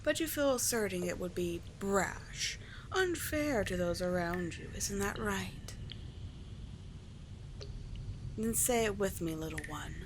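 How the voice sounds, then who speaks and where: A woman playing a cunning, doting sea witch in an ASMR roleplay, breathy and measured, with coaxing, condescending endearments. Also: medium pitch, close to an everyday voice. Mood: coaxing, teasing. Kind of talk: ASMR roleplay, scripted monologue. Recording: clear steady background ambience noise.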